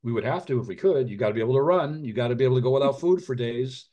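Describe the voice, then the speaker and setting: A middle-aged man, an author and physician, speaking earnestly in an interview, often restarting his sentences and dropping quiet at heavy moments. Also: mid-range voice. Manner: earnest, halting, frequent restarts and fillers. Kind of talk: reflective interview conversation.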